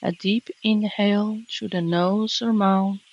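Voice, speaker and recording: A woman meditation teacher narrating a guided relaxation in a gentle, calming, measured way with long pauses. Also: medium pitch, muffled recording. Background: birdsong and nature sounds.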